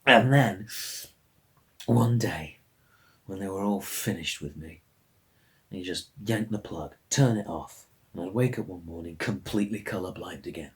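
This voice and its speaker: An actor playing a melancholy colourblind man, delivering an intimate, halting and understated dramatic monologue. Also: deep voice. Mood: bitter, resigned. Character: melancholy colourblind man losing his regained colour vision.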